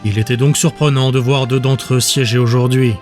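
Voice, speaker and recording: A man narrates fiction in audiobook style. His voice sits at a medium pitch, and music plays faintly underneath. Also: steady tone, brisk, darkish tone. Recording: music bed behind the voice.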